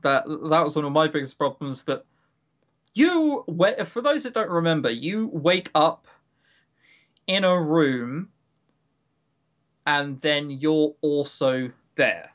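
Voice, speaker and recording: A man with a fairly high voice co-hosts a casual review podcast. He speaks with enthusiasm and strong emphasis, and likes to joke as he makes his points. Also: lively intonation, takes it slowly, pauses often. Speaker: podcast co-host.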